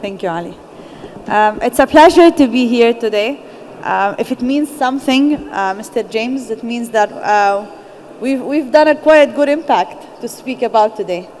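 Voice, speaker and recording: A young woman, a founder speaking on a conference panel, earnest and measured, pausing to find her words. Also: a little high, expressive, darkish tone, a little hiss or hum. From Gaza, Palestine. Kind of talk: personal story told on a conference panel. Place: large conference hall. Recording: echoey conference hall with faint ambience.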